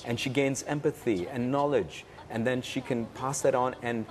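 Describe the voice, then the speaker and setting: A man from Pakistan giving interview answers as a producer, in a halting way with pauses and repetitions. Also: mid-range voice, steady tone. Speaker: interview guest, cartoon producer. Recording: faint background room noise.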